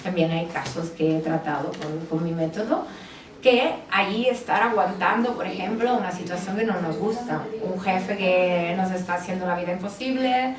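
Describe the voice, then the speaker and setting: A woman psychologist with an Italian accent, speaking with many hesitations and fillers at a book presentation. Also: medium pitch. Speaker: psychologist and author. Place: bookstore event space. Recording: roomy, distant mic with background noise.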